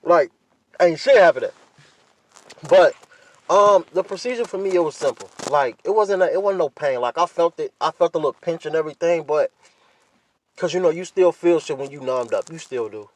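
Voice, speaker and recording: Male podcast host, pitch a little high. Casual, blunt, profane solo talk, repeating points for emphasis. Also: animated, moderate pace. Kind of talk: casual candid monologue.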